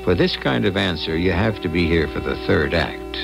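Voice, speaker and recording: Mystery anthology host: very low, gravelly, theatrical, ominous scripted narration over music. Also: male voice, lively intonation, moderate pace. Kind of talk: 1970s radio scripted narration.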